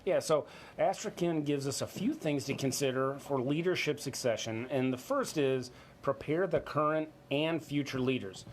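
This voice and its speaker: A man's voice: mid-range voice, moderate pace, slight background noise. A man co-hosting a business discussion, moving between scripted points and informal, conversational remarks. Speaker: co-host of a business talk show.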